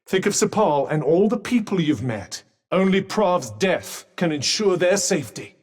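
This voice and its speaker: Snarky magic bracelet in a game, dry jokes and quick warnings, fairly high voice with a long echo tail. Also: male voice, big pitch swings, darkish tone. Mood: sardonic. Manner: dry, sarcastic quips mixed with urgent warnings. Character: sardonic talking magic bracelet, male, wry mentor. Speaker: voice actor. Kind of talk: video game combat barks.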